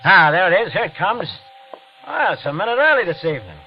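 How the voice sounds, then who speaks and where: An American actor playing an aggrieved, quietly menacing husband in a 1940s radio drama, brooding and sly. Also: male voice, high voice, sing-song, fast talker, soft background music. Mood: quietly sinister. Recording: dull, noisy old radio recording.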